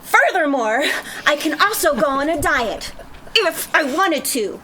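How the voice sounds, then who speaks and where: A high, swooping voice for a brash, proud, indignant plus-sized lady , acted out by a woman at a script read. She is theatrical and emphatic, with a sharp tongue, over faint background noise. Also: big pitch swings, unhurried, full character voice. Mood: angry. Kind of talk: screenplay table read.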